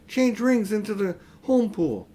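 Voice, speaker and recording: A man voicing a bossy, impatient young girl with a sharp tongue in a storybook reading. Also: high-pitched, animated, unhurried, pitched above the performer's own voice. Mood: urgent.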